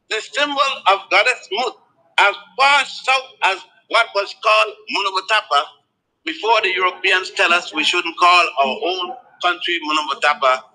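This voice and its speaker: A man giving a spoken lecture in a deliberate, emphatic way, letting his pitch fall at the ends of phrases. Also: bright, forceful tone, poor audio.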